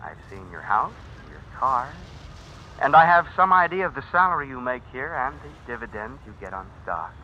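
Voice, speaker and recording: An actor in an old radio drama playing a smug, menacing blackmailer, a writer who talks with insinuating mock politeness. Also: male voice, mid-range voice, animated, moderate pace, sharp tone. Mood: calm menace. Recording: dull, noisy old radio recording.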